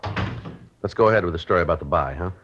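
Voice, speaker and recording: A dry, businesslike American police sergeant, played by a man in a vintage radio crime show. His voice is extremely deep, and he speaks fast in clipped, deadpan phrases. The sound is dull and lo-fi. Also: background noise, lightly acted. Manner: clipped, deadpan, matter-of-fact. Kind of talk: old-time radio police drama, narration and dialogue.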